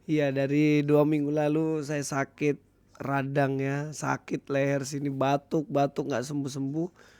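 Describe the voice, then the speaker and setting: A hoarse man with an Indonesian accent, giving casual commentary to his viewers as a video host. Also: a little high, completely flat delivery, a little slow, darkish tone, no echo. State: hoarse, recovering from illness. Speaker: YouTube host.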